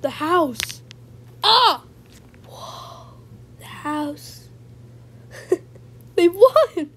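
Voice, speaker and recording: A woman performing homemade character lines as an amateur voice actor, theatrical, with gasps and drawn-out exclamations. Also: very high voice, big pitch swings, fairly bright tone. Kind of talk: homemade character voice lines, animated movie remake.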